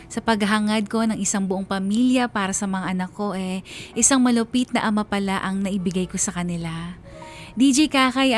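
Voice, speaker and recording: A woman's voice: mid-range voice, close to an everyday voice. A woman playing a regretful, resilient mother who narrates her life story on radio in an earnest, confessional way. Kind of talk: dramatic first-person radio narration.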